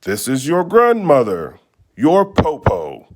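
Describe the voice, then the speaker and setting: A man acts out a cunning old wolf from a storybook, passing himself off as a kindly grandmother. The words are slow and coaxing, with pitch that swoops widely. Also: huge pitch swings, a little mellow, exaggerated voice. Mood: coaxing, sly.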